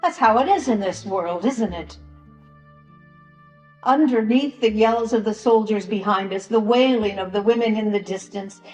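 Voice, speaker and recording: A woman playing a sorrowful, troubled grandmother in a solemn Passion drama monologue, pausing long between phrases. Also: medium pitch, darkish tone, lightly acted. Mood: sorrowful, questioning. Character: sorrowful grandmother in occupied ancient land, a troubled bystander. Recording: roomy echoing church sound with music.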